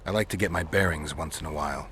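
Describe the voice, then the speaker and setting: An actor playing a gruff, world-weary witcher in a video game, low and gravelly, speaking in terse, flat lines. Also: fast talker, slight background noise. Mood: cool, probing. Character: gruff, laconic, world-weary male monster-hunting witcher. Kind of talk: video game dialogue acting.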